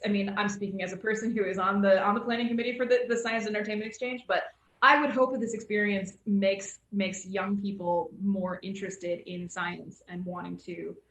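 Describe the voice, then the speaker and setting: A woman moderating an informal online panel discussion, speaking haltingly as she thinks aloud. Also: medium pitch. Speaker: panel moderator on a science committee. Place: indoor room, online video call. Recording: roomy, echoey video call.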